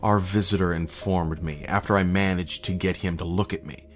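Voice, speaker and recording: A man reading a scripted first-person horror story in a measured, somber, literary manner. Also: sits low, steady tone, soft background music.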